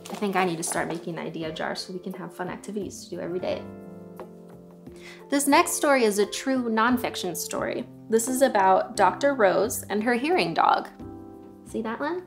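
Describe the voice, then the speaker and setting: A young woman hosts a story time for little kids. She reads aloud at a medium pitch and speaks in a friendly, chatty way. Soft music sits faintly behind her. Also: animated, normal speed, creaky. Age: young adult. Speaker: children's story time presenter. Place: living room sofa, indoors.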